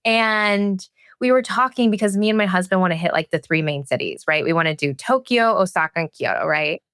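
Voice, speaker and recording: A woman's voice: on the low side, fairly quick, fairly bright tone, clean tone, no room sound, studio quality. A woman, a podcast host speaking in a casual, conversational interviewing style.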